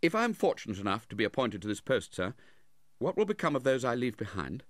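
A man's voice: mid-range voice, very animated, quick pace, no echo, studio quality, voice pushed up, lightly acted. A man voicing a proud, earnest nineteen-year-old in a storybook, blunt and a little haughty.

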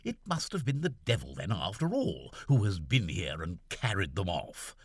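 A man voicing an excitable young army lieutenant who keeps exclaiming in exasperation, in an audiobook. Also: medium pitch, slow, husky, no room sound, studio quality, pitched above the performer's own voice, exaggerated voice. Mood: exasperated. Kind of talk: audiobook character voice.

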